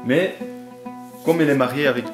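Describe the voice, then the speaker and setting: A man's voice: medium pitch, brisk. A man who plays the oud, explaining things hesitantly in a documentary and often repeating small words. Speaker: oud player. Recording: roomy, with music behind.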